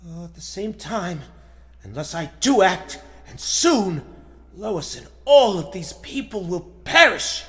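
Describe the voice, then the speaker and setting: A man playing a noble, earnest superhero in a melodramatic, halting 1940s radio serial style. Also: high voice, big pitch swings, fairly bright tone, heavily acted. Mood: resolute. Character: noble male superhero in his secret identity, earnest.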